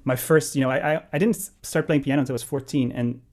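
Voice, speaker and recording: Guy, jazz pianist, chatty interview, lots of um and restarts, middle pitch. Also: steady tone, quick pace, darkish tone. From Netherlands. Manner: thoughtful, rambling with many restarts and fillers. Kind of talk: casual interview chat.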